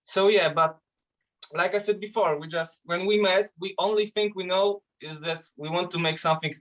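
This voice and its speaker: A man's voice: high-pitched, fairly even intonation, normal speed, a bit bright, highs cut off. A man from Poland with a Polish accent, a metal band vocalist chatting loosely in an interview, swearing and restarting his sentences. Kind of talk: casual interview chat.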